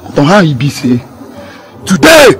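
Man acting a high-pitched, defiant Nigerian gangster. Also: expressive, normal speed, faint music behind, natural voice. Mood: defiant, threatening. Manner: insistent, confrontational. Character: defiant, aggrieved male gangster demanding his share. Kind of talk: film drama dialogue in Nigerian Pidgin.

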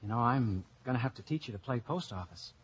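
A man's voice: medium pitch, expressive, fast talker, breathy edge. An actor with an American accent playing an easygoing, flirtatious man, teasing and casual, in 1940s radio style. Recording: muffled old radio broadcast, faint noise.

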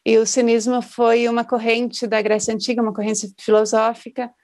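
A woman's voice: on the high side, little pitch movement, normal speed, a little mellow. A woman in her thirties presenting academic research over a video call from a small room at home, adding a tag "né?" to her points. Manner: thoughtful, with tag "né?". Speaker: postdoctoral researcher.

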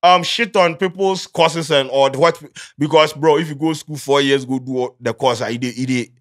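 A Ghanaian man in his thirties hosting a casual studio chat, lively and gesturing, mixing pidgin into his English. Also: fairly high pitch, moderate pace. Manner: animated, gestures a lot, mixes pidgin into English. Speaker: podcast host. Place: small studio with padded walls. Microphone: studio mic on boom arm.

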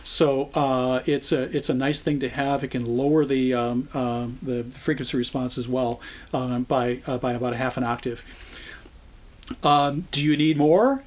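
An American man in his sixties giving an informal product explainer, speaking conversationally and haltingly as he thinks it through. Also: mid-range voice, steady tone. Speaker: product trainer.

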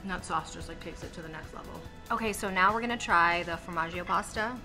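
A young woman with a slightly low voice hosts a restaurant food segment. She tastes dishes and describes them with eager, savoring enthusiasm. Music plays clearly beneath her voice. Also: fast talker. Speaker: food show host. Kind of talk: upbeat food review chat. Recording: background music under voice.

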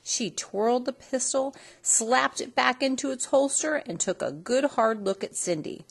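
A woman reading a children's story aloud in an expressive storytelling style. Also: sits high, measured pace, husky. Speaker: storybook reader.

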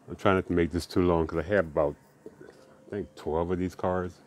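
Guy with a real low voice, laid back, rambling show-and-tell chat, lots of uhs. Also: moderate pace. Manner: relaxed, rambling, with many uhs and restarts. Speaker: die-cast car collector vlogger.

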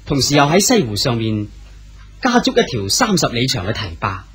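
A man's voice: mid-range voice, huge pitch swings, moderate pace, fairly bright tone, faint music behind. A man with a Cantonese accent narrating a radio drama in a storytelling manner. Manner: storytelling delivery.

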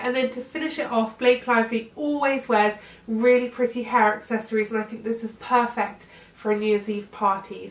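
A woman's voice: on the high side, slight background noise. A young woman in her twenties with a cold, chatting upbeat through a hair tutorial in her bedroom. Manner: chatty, upbeat, often trails off while styling hair. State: congested from a cold. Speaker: beauty vlogger demonstrating a hairstyle. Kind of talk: casual how-to tutorial. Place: bedroom, indoors. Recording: roomy, slightly distant sound.

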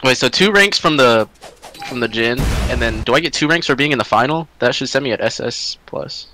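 A man's voice: medium pitch, expressive, fairly quick, over music. A young man chatting casually with friends over voice chat during an online game, laughing often and swearing offhandedly. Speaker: gamer on voice chat.

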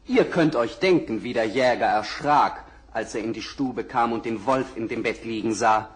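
A man's voice: medium pitch, normal speed. A man narrating a fairy tale for children, addressing the listeners directly, in a 1960s radio play. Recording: crackly 1960s vinyl record.

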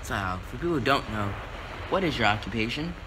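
A man's voice: medium pitch, lively intonation, brisk, close to an everyday voice. Male radio interviewer on a noisy recording. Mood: politely probing. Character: polite male 1920s radio show interviewer. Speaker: co-host. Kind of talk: amateur scripted radio interview. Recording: clear noise behind the voice.

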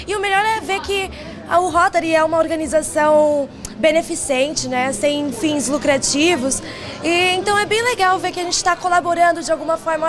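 A young woman in her twenties gushes with enthusiasm into a reporter's handheld mic. Her voice is high. Music plays clearly behind her. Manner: gushing, enthusiastic. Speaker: interviewee. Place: busy event venue.

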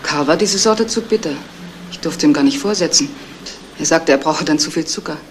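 An actress playing a self-possessed, twice-divorced fiancée under suspicion in a 1970s radio crime play, speaking in a composed, matter-of-fact way. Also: female voice, deep voice, fairly quick, close to an everyday voice. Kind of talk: 1970s German radio crime drama acting. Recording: roomy, distant, noisy old radio recording.

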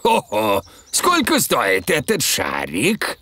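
A man's voice: mid-range voice, huge pitch swings, takes it slowly, bright, forceful tone, heavily acted. A man voicing a jolly, blustery old grandfather pig with a hearty manner in a cartoon dub.

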